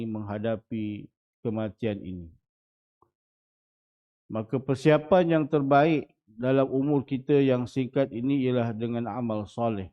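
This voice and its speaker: A man in his forties with a Malaysian Malay accent, a religious teacher giving a dawn lecture in a small prayer hall, often putting rhetorical questions to the audience. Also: medium pitch. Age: 40s. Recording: muffled lo-fi recording.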